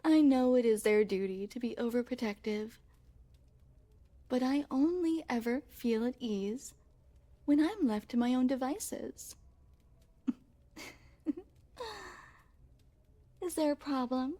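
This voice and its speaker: Woman acting a soft-toned, unhurried regal young princess. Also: high voice, lively intonation. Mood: gracious, teasing. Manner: formal, courtly, coy phrasing. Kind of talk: intimate ASMR roleplay acting.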